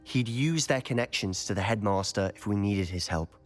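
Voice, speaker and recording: A determined teenage wizard acted by a young man talks in a slightly low voice. He sounds earnest and intent, in the manner of a game cutscene. Soft music runs behind him. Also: normal speed, natural voice. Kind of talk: video game cutscene acting. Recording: music under the voice.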